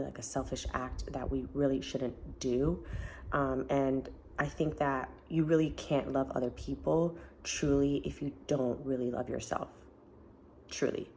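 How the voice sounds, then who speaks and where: A woman with a deep voice talking casually to camera in an earnest, encouraging way, with many fillers. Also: a little mellow, slight background noise. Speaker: self-help vlogger. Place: family home, indoors.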